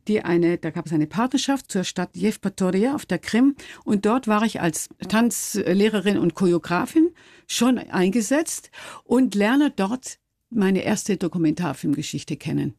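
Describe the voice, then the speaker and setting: A woman's voice: medium pitch, animated, measured pace, gravelly, a little mellow, dry recording, studio quality. An older woman telling personal stories reflectively as an interview guest, thoughtfully rephrasing as she goes. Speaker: interview guest, documentary filmmaker.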